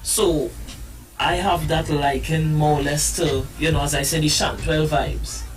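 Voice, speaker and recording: Brief: male musician guest, higher-pitched, earnest and explanatory, heard from a distance with faint music behind. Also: steady tone, unhurried. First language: English. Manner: earnest, explanatory, stumbles on restarts. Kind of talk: live-stream interview chat.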